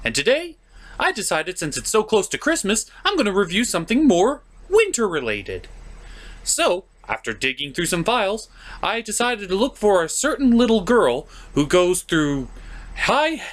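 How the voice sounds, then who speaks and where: A man's voice: sits high, huge pitch swings, moderate pace, a bit bright. A man hosting a comedic film review, narrating with theatrical, exaggerated delivery. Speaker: show host and reviewer.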